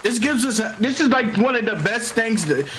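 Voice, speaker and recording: A man's voice: very high voice, expressive, normal speed, a bit bright, some chatter behind, slight background noise. A man chatting casually during a multiplayer game, often repeating his words and phrases. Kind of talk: casual multiplayer gaming chat. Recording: roomy echo, faint music behind.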